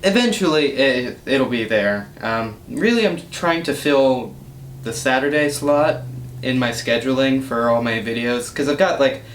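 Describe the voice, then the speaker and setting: A young man giving a casual, rambling update as host of a gaming channel, recorded indoors in a small room. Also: unhurried. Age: young adult. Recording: roomy echo, low rumbling noise.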